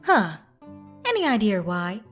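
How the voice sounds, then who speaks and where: A woman's voice: medium pitch, sing-song, measured pace, soft background music. A woman playing a cool-headed ghost hunter who talks in a blunt, dry, confident way. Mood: firm. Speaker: voice actress. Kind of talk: fan audio drama acting.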